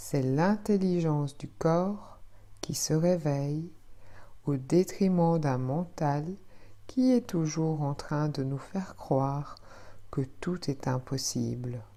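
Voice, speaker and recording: Very slow, low-voiced woman guiding relaxation. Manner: soothing, hushed, measured, repeating phrases gently. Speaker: yoga nidra teacher. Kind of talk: guided relaxation meditation.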